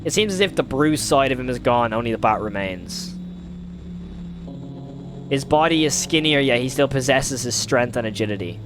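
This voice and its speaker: A man's voice: medium pitch, expressive, normal speed, over music. Man, reaction video host, casual commentary, informal, rambling delivery, mild swearing.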